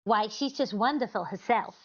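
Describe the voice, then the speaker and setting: Scatterbrained housewife, high-pitched, innocent and chatty, 1940s radio comedy, slightly lo-fi. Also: female voice, normal speed. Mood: earnest, innocent. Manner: innocent, earnest, illogical explaining. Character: scatterbrained, chatty wife telling absurd stories with total sincerity.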